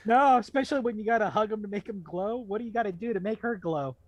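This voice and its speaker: A male co-host trades jokes in casual banter. He rambles into comic riffs in a halting way, starting and restarting as he builds the bit. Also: high-pitched, dry recording. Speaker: podcast co-host commentator.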